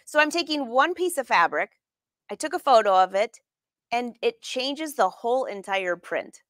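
Middle-aged female craft host for a casual live demo; fairly high voice, chatty with viewers, dry room sound. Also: lively intonation, normal speed, no room sound. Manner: chatty, informal, peppers talk with questions to viewers. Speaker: host of a live sewing lesson. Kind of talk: casual live craft demonstration with audience chat. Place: sewing studio room, indoors.

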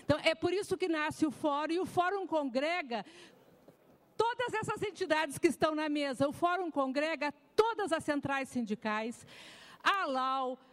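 A woman's voice: high-pitched, a bit bright. A woman in her sixties from southern Brazil, speaking at a podium microphone as a panelist in a formal public hearing, emphatic and gesturing. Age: 60s. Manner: emphatic, gesturing with both hands. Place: large hearing room.

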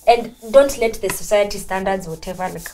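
A young woman with a Kenyan accent chatting casually as a guest, quick and emphatic, switching between English and Swahili, on a studio set. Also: medium pitch, crowd noise. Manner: quick and emphatic, many restarts. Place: pink studio set, indoors.

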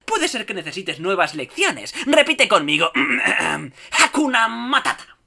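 A man's voice: sits high, big pitch swings, a little slow, creaky, sharp tone, full character voice. A man voicing a cocky, wisecracking little meerkat in a cartoon dub, with a Castilian Spanish accent. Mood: breezy, advising. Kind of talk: cartoon fandub audition.

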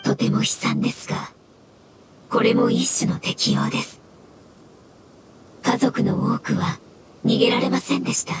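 A woman voicing a meek, sorrowful, polite elemental creature in an anime game, speaking softly. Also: a little high, measured pace, long pauses, very noisy. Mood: sad, explanatory. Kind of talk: Japanese anime game voice acting. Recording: loud music behind the voice.

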